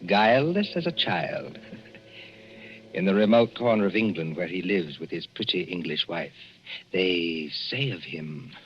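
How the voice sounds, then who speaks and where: A man narrating a 1940s radio suspense drama in a measured, ominous, theatrical way. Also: low-pitched, expressive, a little hiss or hum. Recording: dull vintage radio recording with music. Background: music.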